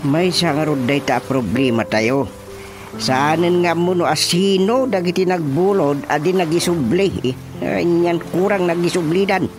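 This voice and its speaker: A man's voice: on the high side, measured pace, natural voice. An actor playing a practical village chairman with an Ilocano accent in a radio drama comedy. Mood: matter-of-fact. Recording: music bed behind the voice.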